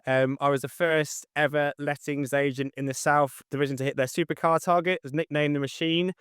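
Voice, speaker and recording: A man with a fairly high, clear voice answers questions in a casual interview. His delivery is slightly monotone and matter-of-fact, and the sound is close and dry. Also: fairly quick, dry recording. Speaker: interview guest, estate agent.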